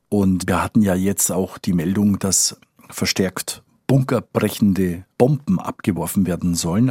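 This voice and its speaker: A man with a fairly low, husky voice talks as a news correspondent. He explains informally, thinking aloud and adjusting his wording, in a very dry recording space. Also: darkish tone, no echo. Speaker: foreign correspondent. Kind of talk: informal explanatory interview talk.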